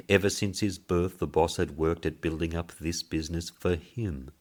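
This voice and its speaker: Guy narrating an audiobook short story, really slow n measured, deep voice. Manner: measured, deliberate. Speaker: story reader.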